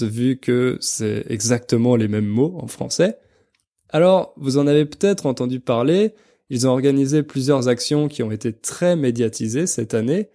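A man's voice: medium pitch, warm tone. A young adult man with an accent from France presents a podcast for language learners, explaining things didactically. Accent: French, from France. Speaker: podcast host and French teacher.

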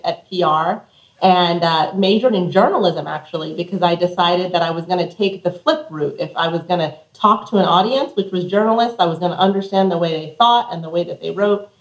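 A woman's voice: lowish voice, roomy sound, low-quality recording. A middle-aged American woman from Colorado, giving articulate, reflective answers as an interview guest. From Colorado, United States. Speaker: interview guest, communications executive.

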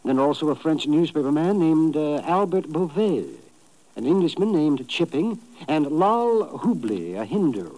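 A man's voice: on the high side, very animated, a little slow, warm tone, poor audio. An actor playing a worried, polite immigrant businessman in a 1950s radio drama, speaking formally with hesitant stammers. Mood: earnest, cautious. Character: anxious, polite immigrant businessman, victim of extortion.

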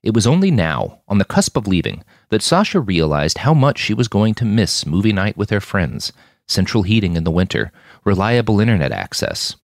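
A man's voice: lowish voice, sing-song, normal speed, no room sound, clean studio sound. A man reading scripted fiction as the narrator of an audiobook-style podcast.

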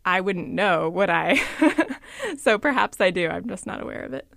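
A woman from a suburb of Washington, D.C., working as a reporter, telling stories in an animated, lively way. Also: on the low side, normal speed, vocal fry, dry recording, clean studio sound. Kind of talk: casual podcast chat.

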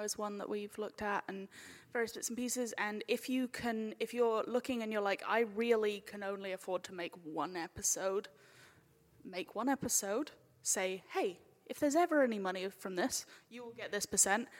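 A woman talking casually on a live panel, chatty and informal, slipping into imagined quotes as she explains. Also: mid-range voice. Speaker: panel speaker, audio drama maker. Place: hall at a live convention panel. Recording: echoey hall with long reverb.

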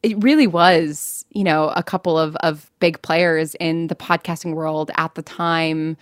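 A chatty American woman speaks as an interview guest in a slightly low voice. She tells stories casually and pokes fun at herself, recorded very dry. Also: steady tone, a little slow, smooth, dry recording. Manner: chatty, self-deprecating, quotes own thoughts. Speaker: interview guest, podcast writer and producer.

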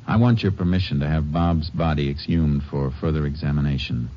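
An actor playing a steady Texas Ranger in a 1950s radio drama, speaking calmly and politely with a Texan accent. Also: male voice, very deep voice, brisk. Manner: calm, polite, plainspoken. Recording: muffled old radio recording with faint hiss.

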